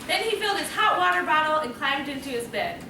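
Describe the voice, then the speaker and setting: A woman's voice: high-pitched, big pitch swings, brisk. A woman narrating a scripted children's story. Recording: echoey room, distant mic, noisy.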